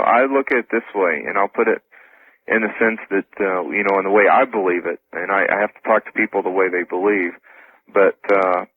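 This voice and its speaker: A man speaking as a radio interview guest, casual and informal, explaining things haltingly. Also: low voice. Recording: tinny phone-like radio broadcast.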